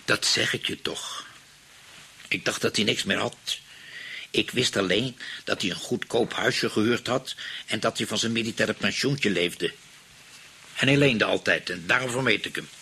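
Casting: a hesitant, money-minded husband in a radio play, Netherlands Dutch accent, creaky and bright-edged. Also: male voice, on the low side, a little slow, heavily acted. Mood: worried, rueful. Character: spendthrift husband fretting over an aunt's inheritance.

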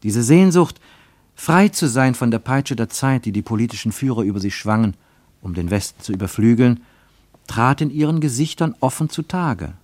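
A man playing an earnest, contemplative narrator in a literary radio play, phrasing deliberately and rhetorically. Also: medium pitch, expressive, a little mellow, no echo, close to an everyday voice.

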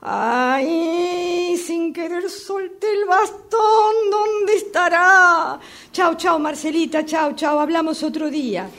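A woman's voice: very high voice, slow, a bit bright, heavily acted. An actress playing a chatty, exasperated woman with a Rioplatense Argentine accent, gossiping and complaining in a radio play about a subway phone call. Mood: flustered. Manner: gossipy, exasperated, repeating herself. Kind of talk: radio theatre monologue acting. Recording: clearly noisy amateur workshop recording.

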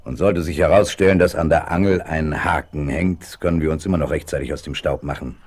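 An actor playing a cool, calculating leader of a Western outlaw gang in a 1970s radio play, commanding and deliberate. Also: male voice, very deep voice, steady tone, darkish tone.

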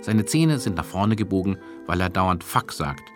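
Low-voiced deadpan male narrator over music. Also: moderate pace. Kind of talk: scripted radio feature narration.

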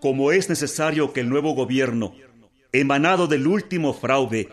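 A man's voice: mid-range voice, normal speed, soft background music, close to an everyday voice. An actor playing a solemn male political leader, formally declaiming a manifesto in a radio drama. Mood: solemn, resolute.

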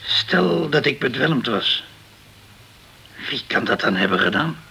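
An actor playing a guilt-ridden, hard-drinking, middle-aged ship's captain in a radio crime drama, halting and defensive, stumbling over his words. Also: medium pitch, lively intonation, creaky, sharp tone, slightly rough, exaggerated voice. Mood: wary, probing.

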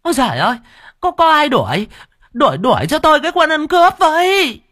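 A man's voice: sits high, lively intonation, a little slow, a bit bright, dry recording, studio quality, pitched above the performer's own voice. A man voicing a flustered middle-aged woman noodle-soup seller who wails and stammers. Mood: panicked. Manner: wailing, pleading, stammering.

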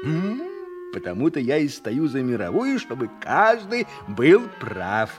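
A man's voice: mid-range voice, very animated, soft background music. An actor playing a middle-aged, peace-loving, muddled town judge who ponders aloud as he tells his story in an audio drama. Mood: musing, placid. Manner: musing, conciliatory, drawn-out pondering.

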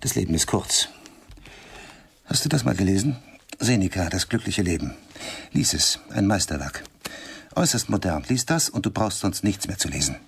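A man's voice: low voice, lively intonation, normal speed, gravelly, pauses often, husky, heavily acted. An actor playing a cultured, self-satisfied middle-aged man in a German radio drama, speaking in a pompous, emphatic, condescending way. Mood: brisk, superior.

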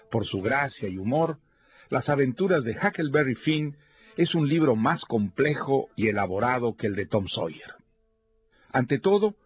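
A man reads a formal, measured literary introduction for a radio program. The sound is somewhat dull, with faint music underneath. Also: medium pitch.

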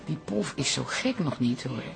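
An actor playing a good-natured, earnest male café regular in a Dutch radio drama, with a gravelly voice. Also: medium pitch, very animated, moderate pace.

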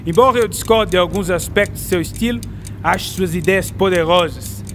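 A self-assured French novelist. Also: male voice, high-pitched, moderate pace, a little hiss or hum. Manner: assured and warmly formal. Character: confident, kindly male 19th-century French novelist. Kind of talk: radio drama acting.

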